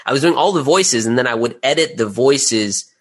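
A man's voice: medium pitch, animated, moderate pace, slightly rough. A middle-aged man speaking casually as an interview guest, candid and self-deprecating about his own work as a creator. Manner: candid, self-deprecating, chuckles while talking.

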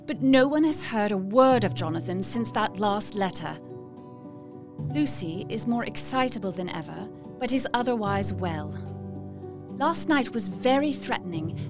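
A woman's voice: medium pitch, natural voice. An actress playing a worried, devoted young woman reading out her journal in a period audio drama, earnest, reflective and precise. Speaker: voice actor. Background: music.

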